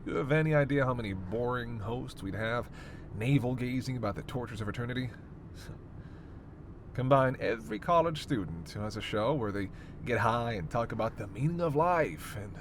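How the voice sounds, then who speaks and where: A sardonic male vampire, acted by a man for a roleplay audio drama. His mid-range voice teases and needles with theatrical exasperation and casual swearing. Clear vehicle noise runs behind him. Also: mid-range voice, animated, brisk, natural voice. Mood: smug, defensive. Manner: sarcastic, needling, theatrically exasperated, foul-mouthed. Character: snarky, teasing immortal male vampire passenger.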